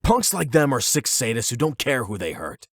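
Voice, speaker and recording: A man's voice: mid-range voice, big pitch swings, normal speed, dry recording, clean studio sound. A man playing an angry, hurt young delinquent, defiant and sarcastic, in an emotional anime-style audio drama. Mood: bitter, defiant. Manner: sweary, sarcastic, confrontational.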